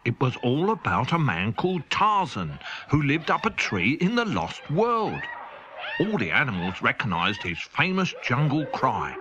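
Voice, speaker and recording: A man's voice: medium pitch, wildly swooping pitch, unhurried, dull audio, very noisy. A man reading a children's audiobook aloud in a playful, comic manner. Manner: playful, comic, spirited delivery. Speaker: storyteller. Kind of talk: scripted children's audiobook narration.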